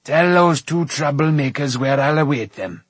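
A massive, hearty warrior king from a storybook. He blusters and bellows in a husky voice. His words come slow and weighty. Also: medium pitch, no echo. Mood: boisterous. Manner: blustering, drawn-out delivery. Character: huge, booming, hearty old warrior king.

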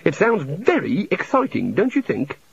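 A man's voice: high-pitched, creaky, slightly rough, no echo, pitched above the performer's own voice, full character voice. A man voicing a fussy, apologetic, short-tempered male god in an audiobook. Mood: eager. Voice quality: excitable and apologetic, quick to fluster. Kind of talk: audiobook character reading. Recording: slightly dull, dry audiobook recording.

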